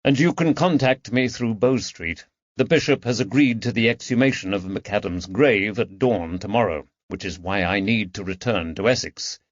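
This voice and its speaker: A man voicing a composed, methodical police detective with a measured, authoritative manner in an audiobook. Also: on the low side, no echo, lo-fi sound, close to an everyday voice. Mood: businesslike. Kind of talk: audiobook character dialogue.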